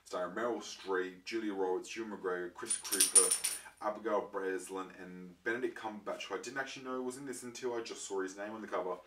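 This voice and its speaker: A young man with a croaky voice from a throat infection, chatting casually to his viewers with lots of ums and restarts. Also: lowish voice, steady tone, a little fast. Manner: rambling, many ums and restarts. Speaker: vlogger showing his disc collection. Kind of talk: casual chat to camera. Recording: roomy, mic far off, faint noise.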